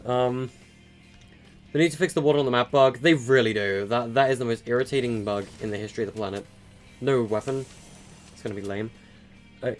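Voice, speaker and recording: An eighteen-year-old man streaming a game live, chatting loosely with viewers, with many fillers and stutters. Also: mid-range voice. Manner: rambling, with frequent fillers and stutters. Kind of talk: casual live-stream chat answering viewers.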